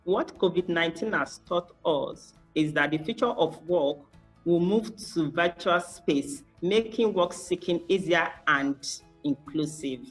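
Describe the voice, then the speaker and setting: A woman from Nigeria giving deliberate short advocacy statements in a campaign video. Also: fairly low pitch, soft background music, poor audio.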